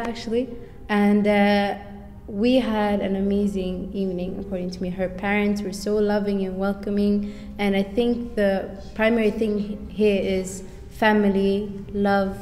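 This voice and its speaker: An eighteen-year-old woman from Sweden with a Swedish accent, speaking in a measured, thoughtful way as an exchange student in a casual discussion. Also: medium pitch, a little mellow. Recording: reverberant room, faint background noise.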